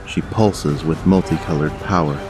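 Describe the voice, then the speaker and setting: A man's voice: rumbling low, moderate pace, music in the background. A man narrating an audio drama in a scripted storytelling style.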